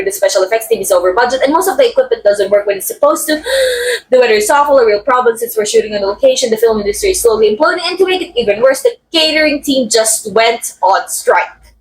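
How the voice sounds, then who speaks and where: A woman acts out a haughty, catty actress in an ASMR roleplay drama. Her voice sits fairly high and swings dramatically in pitch, drawling key words with sardonic condescension and a playful edge of menace. A faint noise sits behind the recording. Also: very animated, quick pace, low-quality recording. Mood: exasperated, scornful. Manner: theatrical, sardonic, drawling some words for emphasis. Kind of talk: ASMR roleplay monologue, voice acting.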